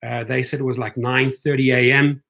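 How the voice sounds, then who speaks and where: A middle-aged South African man hosting a casual livestream for rideshare drivers. He reads out viewer comments and rallies his audience, punctuating his points with "right?" in an exhorting tone. His voice sits at an ordinary middle pitch. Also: level tone, normal speed, creaky, fairly bright tone. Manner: rallying, exhorting fellow drivers with repeated "right?". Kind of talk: live-stream chat reading viewer comments.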